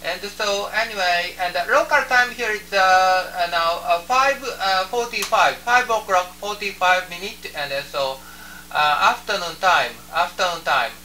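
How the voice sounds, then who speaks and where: A man with a high-pitched, very sharp voice and a Japanese accent works a ham radio contact. He speaks haltingly, repeating his phrases. He sounds far from the microphone, with radio noise humming around him. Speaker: amateur radio operator. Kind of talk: ham radio contact chat with phonetic call signs. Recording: noisy shortwave radio setup, distant microphone, hum.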